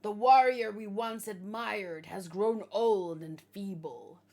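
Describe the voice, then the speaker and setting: A woman voicing ruthless giant captains who boast about seizing power, in a louder, slower, villainous delivery while reading a manga aloud. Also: medium pitch. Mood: gloating.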